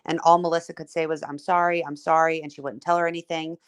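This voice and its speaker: A woman co-hosting a casual chat, reading a summary aloud in a steady, plain way. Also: low-pitched, fast, clean tone. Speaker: co-host recapping trial notes.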